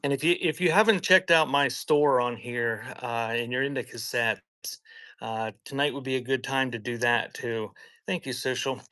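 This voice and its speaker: A man with a bright, forward voice at a middling pitch, recorded close and dry. He sells live in an informal, conversational way, drifting between item call-outs and rambling asides. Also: normal speed, no echo. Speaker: live auction seller, host. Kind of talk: casual live-selling patter with chatty anecdotes.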